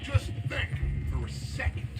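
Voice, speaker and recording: An actor playing a middle-aged American outlaw gang leader in a video game, grandiose, preachy and persuasive. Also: male voice, a little slow, over loud music, lightly acted. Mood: intense, persuasive. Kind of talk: video game dramatic acting.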